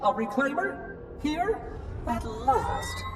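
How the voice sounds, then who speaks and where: An actor playing an officious robot caretaker in a game, prim, precise and pedantic. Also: male voice, extremely high pitch, animated, slow, heavily acted. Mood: prim officiousness. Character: fussy, officious male robot monitor. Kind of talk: video game drama acting. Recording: distant sound, music behind.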